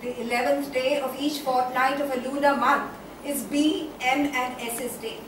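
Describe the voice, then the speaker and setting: A woman with an Indian accent acting an anguished, reflective figure in a declamatory, deliberate theatrical monologue on stage. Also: sits high, steady tone. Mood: solemn, reflective. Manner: declamatory, deliberate, with dramatic pauses and repetitions. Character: anguished, reflective woman baring her soul in soliloquy. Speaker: stage actor. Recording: very echoey stage, distant mic, faint noise.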